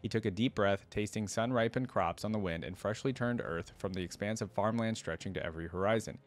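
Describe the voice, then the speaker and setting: Low-voiced man, casual reaction host. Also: dry recording. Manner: casual, thinks aloud, informal asides. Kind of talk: reading lore aloud with casual commentary.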